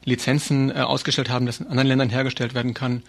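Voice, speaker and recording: A man's voice: medium pitch, flat delivery, very fast, creaky. A man explaining things informally in an interview, often rephrasing as he goes. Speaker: interviewee, arms-trade researcher and activist.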